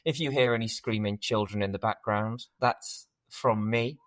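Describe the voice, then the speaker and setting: A man's voice: fairly low pitch, moderate pace, a bit bright. A man co-hosting a podcast, chatting casually with dry, teasing humour. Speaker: podcast co-host. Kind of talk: casual, bantering podcast chat.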